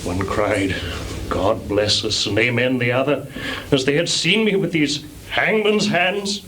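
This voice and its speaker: A male stage actor playing a tormented, guilt-ridden, ambitious murderer in a measured, weighty Shakespearean delivery with long pauses, recorded live in a theatre. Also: medium pitch, fairly bright tone, a little hiss or hum, close to an everyday voice. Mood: shaken, haunted.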